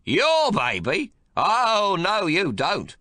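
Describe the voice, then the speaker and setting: A man's voice: sits high, huge pitch swings, very slow, sharp tone, no room sound, voice pushed up, heavily acted. A man voicing a domineering, crude old grandfather who bellows and bullies. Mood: bullying. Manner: coarse, bellowing, bullying.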